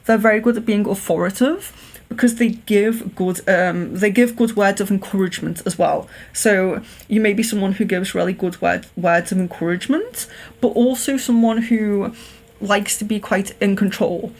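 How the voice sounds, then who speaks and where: English woman w a bit of liverpool accent doing a palm reading stream, careful clear phone voice, lots of ums. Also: medium pitch, normal speed, darkish tone. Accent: Liverpool, slight. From England. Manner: careful clear telephone voice, many ums and restarts. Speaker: psychic livestream host.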